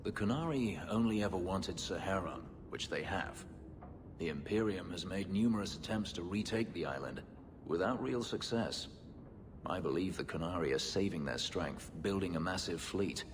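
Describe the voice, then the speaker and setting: A man voicing a brooding, bitter warrior in a video game, speaking in a measured, grave, dryly sardonic way. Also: sits low, expressive, long reverb tail, noisy background. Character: brooding, bitter male warrior from a slave-keeping empire.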